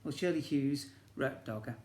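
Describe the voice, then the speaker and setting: A man's voice: medium pitch, moderate pace, a little mellow. A man, a teacher reading a children's story expressively and breaking off to ask his young listeners questions. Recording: echoey room.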